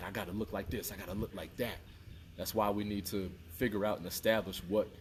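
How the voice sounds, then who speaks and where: A deep-voiced man in his thirties records an earnest fitness pep talk outdoors by a fountain. He sits back from the mic, and a clear hiss of outdoor sound runs under his voice. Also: distant mic. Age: 30s. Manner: earnest, repetitive, preachy motivational talk. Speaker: fitness vlogger. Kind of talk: casual motivational talk to camera.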